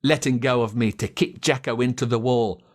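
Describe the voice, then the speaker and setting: An Australian man narrating a children's story in a dramatic, expressive way. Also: medium pitch, moderate pace. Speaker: audiobook narrator.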